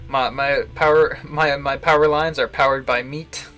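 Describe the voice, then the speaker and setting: A man giving casual, halting live commentary on a visual novel, chuckling to himself as he rambles. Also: mid-range voice, steady tone, fairly bright tone. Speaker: game streamer, host. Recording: game music behind the voice. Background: video game music.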